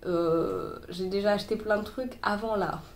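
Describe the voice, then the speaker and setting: A woman's voice: medium pitch, steady tone, normal speed, reverberant, slight background noise. A young woman chatting casually in a sewing tutorial, full of fillers and restarts, a little stuffy from a cold, recorded on a phone. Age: young adult. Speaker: sewing vlogger. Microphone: boyfriend's phone.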